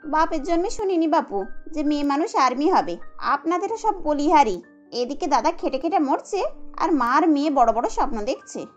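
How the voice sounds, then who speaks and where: A woman's voice: sits high, very animated, normal speed, dull audio, soft background music. A woman voicing a scornful, domineering young housewife who scolds sarcastically in a cartoon. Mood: mocking. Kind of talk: Bengali cartoon dubbing.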